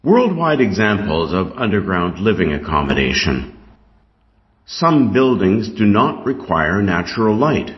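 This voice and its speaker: A Canadian man reading scripted test material aloud in a deliberate, instructional way. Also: on the low side, sing-song. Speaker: reader of an English exam reading passage. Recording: dull, lo-fi sound.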